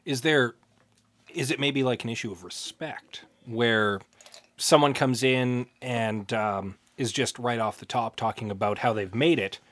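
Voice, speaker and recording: A man's voice: mid-range voice, a little slow, fairly bright tone, no room sound. A man co-hosting a podcast in casual chat, speaking in a dry, matter-of-fact way. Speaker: podcast co-host.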